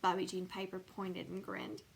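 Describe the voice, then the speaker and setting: A woman reading a children's bedtime story aloud as scripted, expressive narration. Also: fairly low pitch, normal speed, vocal fry, slightly rough. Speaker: storybook reader.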